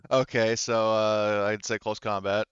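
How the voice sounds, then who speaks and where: Smooth low-voiced man, dull dry recording. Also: level tone, unhurried, dry recording. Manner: casual, frequent swearing. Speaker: game master. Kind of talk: casual narration of a tabletop roleplaying game.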